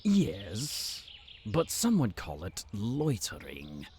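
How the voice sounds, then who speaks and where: A haughty fantasy official, acted by a man, condescending and smug. He draws out formal phrases slowly, with wildly swooping intonation. Faint outdoor ambience sits behind the voice. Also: lowish voice, huge pitch swings, a little mellow, a little hiss or hum, exaggerated voice. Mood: smug, prying. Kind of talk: fantasy audio roleplay acting.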